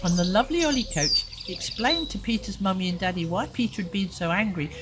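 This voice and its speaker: A woman telling a children's story expressively, with dramatic pauses and chatty asides. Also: medium pitch, lively intonation, very noisy.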